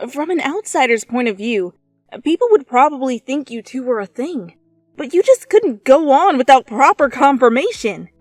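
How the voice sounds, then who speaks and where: A woman with a fairly high voice narrates a story in an expressive, theatrical way. The sound is dry and close, with music playing underneath. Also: moderate pace, no echo. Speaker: fan fiction reader. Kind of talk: dramatic second-person story narration.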